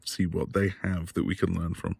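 A man acting as a devoted, flirtatious husband in an intimate ASMR roleplay, breathy and affectionate, often dropping toward a whisper. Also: low-pitched, level tone, darkish tone, exaggerated voice. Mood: teasing, affectionate.

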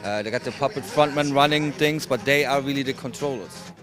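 A man's voice: medium pitch, brisk, over music. A man in his forties talks casually outdoors in a park and repeats himself. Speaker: protester.

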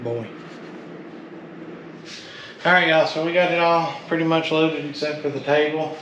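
A man's voice: on the high side, fairly even intonation, a little slow, poor audio. Man rummaging through a storage unit. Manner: drawling, offhand, calls things slick. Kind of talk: casual chat while unboxing. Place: metal storage unit, indoors. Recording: loud clanking background noise.

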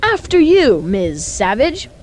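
A woman's voice: a little high, sing-song, slow pace, a little mellow, a little hiss or hum. A woman voicing a plucky, eager young boy adventurer in a children's cartoon game. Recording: music underneath.

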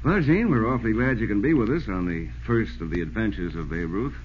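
An actor with an American accent playing an excitable sports reporter, narrating a yarn in a folksy way for old-time radio. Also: male voice, on the low side, fairly quick, lightly acted. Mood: warm recollection. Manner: folksy, animated storytelling. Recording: noisy, dull old radio broadcast.

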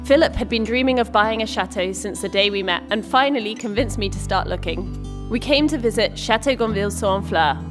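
A woman's voice: mid-range voice, a little fast. British woman narrating over music. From United Kingdom. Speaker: vlog co-host. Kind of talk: scripted narration.

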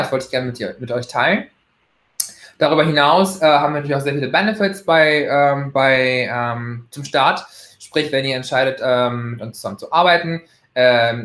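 A man's voice: medium pitch, a bit bright. A man in his thirties from Germany gives a casual recruitment testimonial over a laptop webcam, hesitating often with fillers and restarts. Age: 30s. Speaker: employee giving a testimonial. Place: bright room at home, indoors. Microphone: laptop webcam, video call. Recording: echoey room, laptop mic.

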